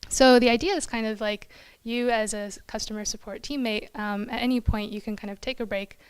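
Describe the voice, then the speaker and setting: A woman's voice: on the high side, steady tone, a little fast, clear, resonant tone, no room sound. A young woman giving an informal talk as a product designer, speaking conversationally with plenty of "like" and "kind of" into a handheld microphone. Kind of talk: informal presentation to an audience. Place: small indoor event room.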